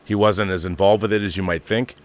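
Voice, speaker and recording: A man's voice: sits low, steady tone, fairly quick. A middle-aged or older man hosting a live show, giving opinionated, casual film commentary and repeating phrases for emphasis.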